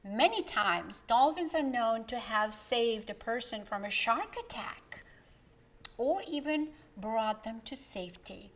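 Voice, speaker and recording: A woman's voice: on the high side, lively intonation, slow pace, fairly bright tone, exaggerated voice. A woman doing a jolly fisherman uncle. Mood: fond, cheerful. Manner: hearty, fond, exclaiming. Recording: dull lo-fi recording.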